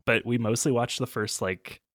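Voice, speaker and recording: A man's voice: mid-range voice, fairly even intonation, normal speed, a bit bright, dry recording, studio quality. Easygoing man, hesitant and trailing. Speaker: podcast guest. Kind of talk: casual chat.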